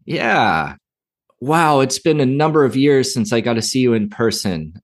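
A middle-aged man hosting a podcast, talking in a gentle, unhurried, friendly way. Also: mid-range voice, lively intonation. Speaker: podcast host. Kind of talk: interview hosting.

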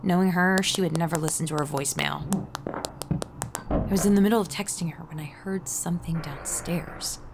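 An actress playing a frightened, bewildered ordinary woman, telling her own thriller story in an urgent, breathy way. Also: on the low side, fairly even intonation, normal speed. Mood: tense, urgent. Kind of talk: first-person dramatic audio fiction narration. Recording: noisy sound-effect ambience behind voice.

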